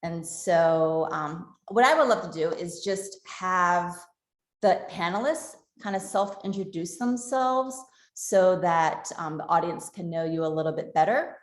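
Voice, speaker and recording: Woman hosting a video call panel, roomy sound. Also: on the low side. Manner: friendly, halting with many fillers. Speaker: panel moderator.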